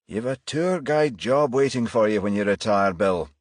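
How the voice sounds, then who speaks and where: A man voicing a calm, polite but firm police detective in audiobook dialogue, courteous and probing. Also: lowish voice, moderate pace, no room sound, studio quality, voice pushed up, close to an everyday voice. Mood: teasing.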